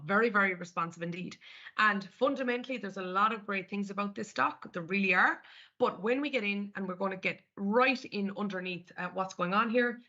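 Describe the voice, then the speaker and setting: A woman's voice: mid-range voice, creaky, pushed and bright. A woman with an Irish accent presenting a live market webinar, chatting her way through each screen step by step. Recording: dull lo-fi webinar audio.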